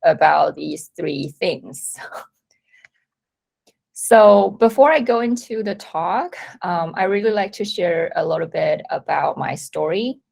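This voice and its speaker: Slow-spoken woman from Taiwan. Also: on the low side, creaky, a little mellow, breathy edge. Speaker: company talk speaker. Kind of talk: prepared presentation talk.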